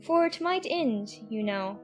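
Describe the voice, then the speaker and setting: A woman acts out a curious, earnest little girl from a storybook, talking to herself. The voice is high and girlish, with music playing behind it. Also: expressive, a little slow. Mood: wondering. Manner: thinking aloud to herself. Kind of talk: dramatized audiobook acting.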